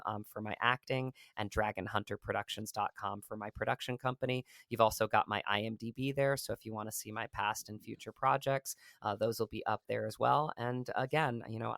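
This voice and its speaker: A man's voice: medium pitch, gravelly, a bit bright, clean tone, no echo. A man, an actor being interviewed, talking earnestly and at length with many fillers and restarts. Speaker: actor, podcast guest. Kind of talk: casual interview talk.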